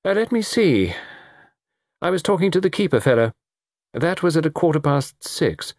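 A man voicing a suave young foreign gentleman in an audiobook, theatrical, easy and mockingly defiant. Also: mid-range voice, very animated, normal speed, no room sound, studio quality, voice pushed up. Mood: casual confession. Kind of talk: audiobook character dialogue.

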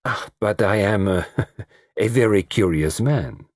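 A man voicing a gallant, shrewd middle-aged detective with a French accent, speaking in courteous, measured, formal phrases in an audiobook story. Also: fairly low pitch, expressive, dry recording, clean studio sound. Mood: gently teasing.

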